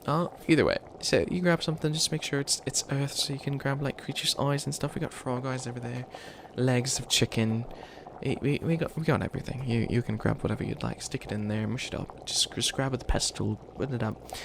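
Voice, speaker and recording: A man's voice: mid-range voice, fairly even intonation, brisk, gravelly, background noise, natural voice. A man voicing an ancient bunny witch, a failed villain who is flustered and kindly, rambling and stammering through a comedic ASMR roleplay. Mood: helpful, encouraging. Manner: rambling, stammering, apologetic, trails off. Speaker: mentor to a new apprentice.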